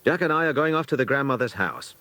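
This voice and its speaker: A British man voicing an eager, bossy schoolboy who leads a children's club in an audiobook, brisk and keen to organise. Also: medium pitch, animated, pushed and bright, no echo, pitched above the performer's own voice. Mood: brisk, commanding.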